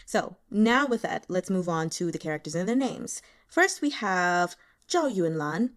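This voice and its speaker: Casual, expressive woman chatting about a book. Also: fairly low pitch, measured pace. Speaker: audiobook reader and host. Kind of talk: casual reading commentary, chatty.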